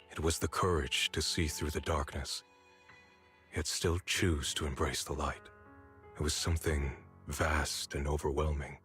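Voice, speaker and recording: A man voicing a grave, reflective, humbled swordsman in solemn, measured video game narration. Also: rumbling low, fairly bright tone.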